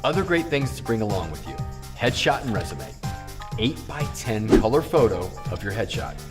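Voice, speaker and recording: A man's voice: lowish voice, sing-song, moderate pace, over music. A man presenting acting tips in scripted narration with casual asides.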